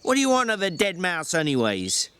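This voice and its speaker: A man voicing a small, irritable, bossy drifter in a story reading, scolding in sharp rustic slang, exasperated but protective. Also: high-pitched, huge pitch swings, a little fast, smooth, heavily acted.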